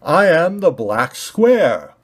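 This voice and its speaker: Man voicing a prim, touchy storybook square, slow. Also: on the high side, very animated, pitched above the performer's own voice, heavily acted. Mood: formal greeting.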